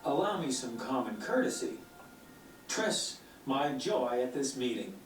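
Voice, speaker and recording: A man's voice: mid-range voice, very animated, unhurried, soft background music. An actor playing a flowery, dramatic male bard in a game cutscene. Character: theatrical chatty male bard. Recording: echoey distant game audio.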